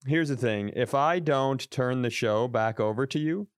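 A man, a comedian, casually riffing in halting starts as he builds up bits on a podcast mic. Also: mid-range voice, clear, resonant tone, no echo, clean studio sound. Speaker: comedian guest.

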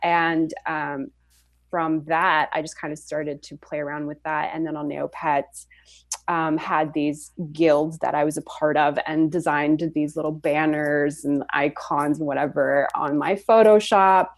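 A young woman from Switzerland, a podcast guest and graphic designer, talking in a relaxed storytelling way with frequent ums and soft laughs. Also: sits low, unhurried, no room sound. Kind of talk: casual interview chat.

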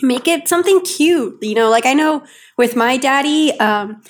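A woman with a somewhat high voice co-hosts a casual podcast. She chats in an upbeat way, filling her talk with "like" and "you know". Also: normal speed. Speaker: co-host.

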